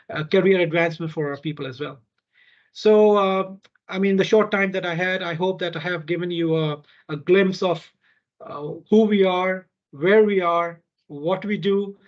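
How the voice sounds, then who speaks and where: High-pitched man, halting online presenter. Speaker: chapter representative presenting. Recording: dull, lo-fi online call audio.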